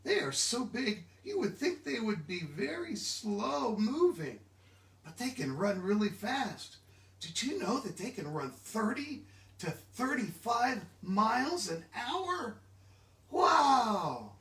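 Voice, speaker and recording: A man giving an animated, expressive children's storybook read-aloud, engaging his listeners directly. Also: high-pitched, slow pace. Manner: expressive, interactive, asks listeners questions. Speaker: children's book reader. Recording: echoey room, distant mic.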